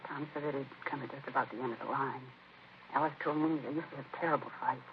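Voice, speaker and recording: An American actress playing a distraught, disapproving, defensive grieving sister in a 1950s radio crime drama, speaking in a hesitant, confiding way. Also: female voice, extremely low pitch, steady tone, fast. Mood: agitated, recounting. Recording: muffled, noisy vintage radio recording.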